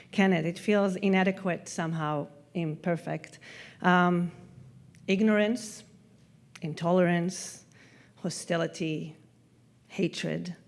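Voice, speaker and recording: A woman from Israel whose first language is Hebrew, giving a prepared festival talk in a reflective, measured way, letting sentence endings trail off softly. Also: on the low side. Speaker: festival speaker. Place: festival stage.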